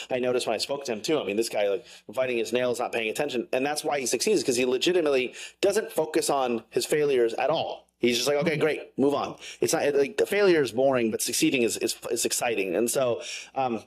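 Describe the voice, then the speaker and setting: A man speaks quickly and bluntly in a casual interview. His words tumble out with plenty of "like" and "um," and his voice sits at a middling pitch. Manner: fast, rambling, frank, many fillers. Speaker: guest, science content creator.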